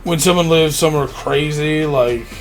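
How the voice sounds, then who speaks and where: A man speaks in a slightly raised register with an informal, slangy delivery. He sounds like a podcast co-host in casual banter. There is soft music underneath. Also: a little high, moderate pace. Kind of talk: casual banter about gaming news.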